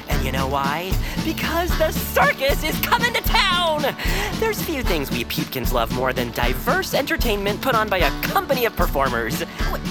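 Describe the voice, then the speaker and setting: A woman playing a cheerful, earnest young small-town hero in a musical audio drama, partly sung. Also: mid-range voice. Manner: bubbly, earnest.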